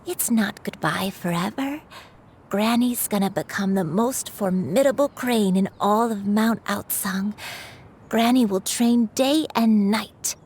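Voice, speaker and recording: A slow, gentle old granny. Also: female voice, medium pitch, slightly rough. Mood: tender. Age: older. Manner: tender, slow and soothing. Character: gentle elderly grandmother, a crane in human form. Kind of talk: game cutscene voice acting.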